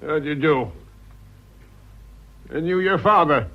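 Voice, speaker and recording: An actor playing a grumpy, blunt old lord with a British accent in a radio drama, curt and grumbling. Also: male voice, a little high, lively intonation, a little slow, lo-fi sound. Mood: irritable, grumbling.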